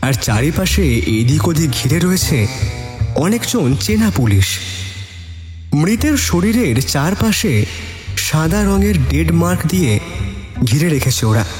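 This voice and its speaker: A man with a slightly high, very animated voice tells a suspense story in dramatic scripted narration. His voice carries a reverberant echo. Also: takes it slowly, long pauses. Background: faint music.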